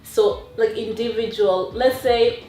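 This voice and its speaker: A woman from Kenya, a nurse, answering interview questions in a casual, soft-spoken way, with fillers and restarts. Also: on the high side, steady tone, slow, reverberant, recorded from a distance.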